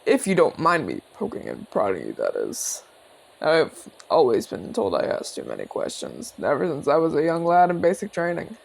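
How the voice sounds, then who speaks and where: A man performs a friendly old-soldier orc, a former general with a creaky, gravelly edge. His pitch roams widely as he chats in a measured, courteous, inquisitive way. It is intimate ASMR roleplay, addressed straight to the listener. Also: huge pitch swings, heavily acted, rough recording. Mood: friendly, curious. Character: genial retired orc general, male border commander, calm, inquisitive.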